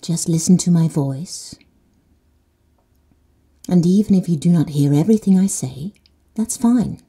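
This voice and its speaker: A woman leads a guided sleep meditation in a slow, soothing manner. She often drops to soft whispers. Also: fairly low pitch, lively intonation, husky. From Netherlands.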